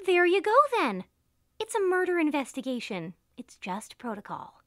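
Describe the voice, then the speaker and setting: Actress as a bubbly girl best friend, high lively voice, casual chatty audio drama. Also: normal speed, no room sound, clean studio sound. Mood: reassuring. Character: chatty, breezy young female best friend, mobster's daughter.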